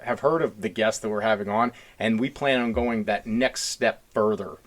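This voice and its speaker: A man's voice: on the low side, moderate pace. A man hosting a casual podcast, talking in an earnest, rambling, blunt blue-collar way. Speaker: podcast host.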